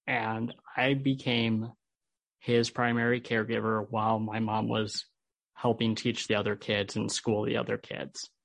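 A man's voice: fairly low pitch, level tone, a little slow, gravelly, fairly bright tone, no room sound, low-quality recording. A man with an American accent, a podcast guest telling his personal story in an interview. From Holland, United States.

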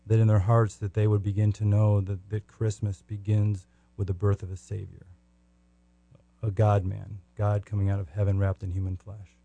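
A man from the United States speaking as a podcast guest in earnest, devout testimony and prayer, with halting phrasing and repeated words. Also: lowish voice, level tone, vocal fry, mellow, lo-fi sound.

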